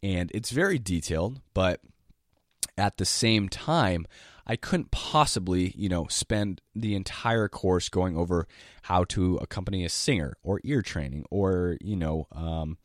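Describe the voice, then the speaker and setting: Very deep-voiced sick man hosting a podcast. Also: unhurried, dry recording, studio quality. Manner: rambling and eager, with many restarts and occasional coughs. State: sick, voice lower than usual. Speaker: podcast host and jazz piano teacher. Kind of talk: casual solo podcast monologue.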